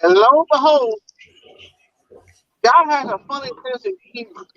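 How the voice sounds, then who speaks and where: A man's voice: very high voice, moderate pace, a bit bright, no room sound. A man from Puerto Rico with a Southern US accent, telling stories in a casual video chat from a truck, laughing often and stammering as he restarts. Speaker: truck driver on a livestream. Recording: dull lo-fi sound.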